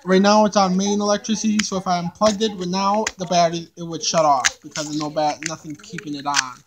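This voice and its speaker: A young man giving a casual unboxing demonstration, explaining things in a halting, repetitive way into a handheld camera. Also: high voice, steady tone, gravelly, background noise. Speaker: hobbyist video maker. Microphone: handheld camera, no tripod.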